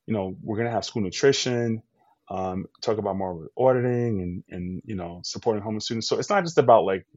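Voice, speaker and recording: An upbeat man co-hosting a podcast, talking in a loose, rambling way with lots of "um"s and false starts. His voice sits on the low side. The recording is dull and lo-fi, with a very dry, close sound. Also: fairly quick, darkish tone, no room sound. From US East Coast. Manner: enthusiastic, rambling with many fillers and restarts. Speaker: podcast co-host. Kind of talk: casual podcast chat.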